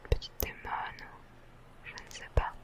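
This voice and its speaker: Whispering host, unhurried, soft, gentle chatty murmur, ASMR game walkthrough. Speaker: host of a relaxation gaming channel. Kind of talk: ASMR gaming commentary.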